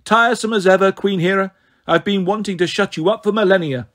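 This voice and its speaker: A man acts out a cold snow goddess from a fantasy storybook. The voice sits high and stays soft, gentle and purring. She is haughty and condescending, taunting with cruel, silky smugness. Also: expressive, moderate pace. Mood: smug, patient. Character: beautiful cold snow goddess, haughty, sadistically smug villainess.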